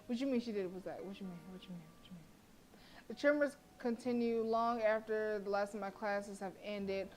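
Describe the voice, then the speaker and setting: A woman streamer reads a horror visual novel aloud with a mid-pitched voice, giving the text a dramatic delivery. She keeps cutting in with profane, joking asides. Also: moderate pace.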